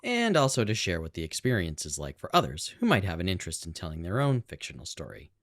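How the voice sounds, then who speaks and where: Male podcast co-host, low pitch, casual chat, rambling conversational delivery. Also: huge pitch swings, fast talker, no room sound, clean studio sound. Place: house room with improvised soundproofing. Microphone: own podcast microphone on a boom arm.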